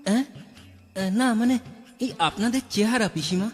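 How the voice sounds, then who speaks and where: A woman's voice: mid-range voice, animated, moderate pace, natural voice. A woman voicing an earnest, devoted young bridegroom in a Bengali cartoon, polite and hesitant. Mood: bewildered. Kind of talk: Bengali fairy-tale cartoon acting. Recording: hall reverb, clear music behind.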